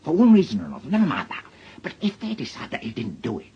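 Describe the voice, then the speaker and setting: Slightly muffled radio drama audio with faint noise carries a worried Northern English husband. His pitch swings very widely as he hesitates, pleads and defends himself. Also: wildly swooping pitch, quick pace, warm tone. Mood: agitated, worried. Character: anxious married man, a defensive reluctant murder witness. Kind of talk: naturalistic radio drama dialogue.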